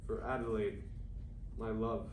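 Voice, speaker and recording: A man's voice: lowish voice, little pitch movement, a little slow, natural voice. A man playing an earnest, self-doubting, stubborn 1920s writer and teacher in a staged play reading. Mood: tender. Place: room at an acting academy, recorded from afar. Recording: echoey distant room recording, faint noise.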